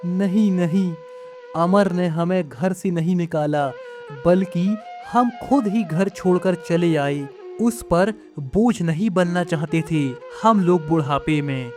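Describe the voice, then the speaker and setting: A woman voicing a gentle, dignified old village husband and father in a measured, earnest manner, for a moral story. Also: fairly low pitch. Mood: sad, defensive. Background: music.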